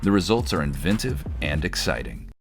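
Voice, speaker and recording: A man reading scripted documentary narration in a measured, formal, instructional manner. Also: very deep voice, sing-song, over music. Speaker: narrator of a college showcase.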